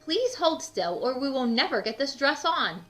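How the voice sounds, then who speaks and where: A woman as a bright, fussy, doting storybook wife. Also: high voice, very animated, normal speed, voice pushed up. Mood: flustered. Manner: gushing, emphatic. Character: doting, fussy married woman, Missus Watson. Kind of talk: storybook character voice. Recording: music under the voice.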